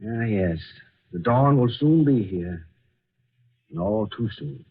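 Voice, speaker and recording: Radio drama role: secretive businessman, kindly and hesitant, rough-edged deepish voice, narrow muffled old recording. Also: animated, full character voice. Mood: wistful, brooding. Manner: halting, gently insistent. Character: courteous, weary, secretive businessman boss. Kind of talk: old-time American radio drama acting.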